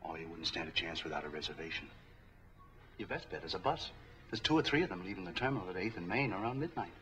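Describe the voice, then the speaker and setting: An actor playing an eager, friendly street peddler in a 1940s film, chatty, slangy and obliging. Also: male voice, low-pitched, lively intonation, quick pace, pushed and bright. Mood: helpful. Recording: faintly noisy 1940s film soundtrack.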